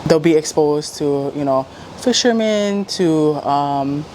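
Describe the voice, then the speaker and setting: A woman's voice: sits low, takes it slowly, a little mellow, slight background noise. A woman giving a casual interview explanation as a member of program staff.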